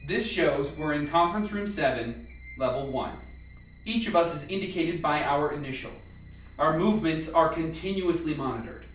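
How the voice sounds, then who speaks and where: A man acting in an amateur radio play as a calm, instructive scientist briefing a lab team with measured delivery. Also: on the high side, a little hiss or hum. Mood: matter-of-fact briefing. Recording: echoey room, distant mic, faint music.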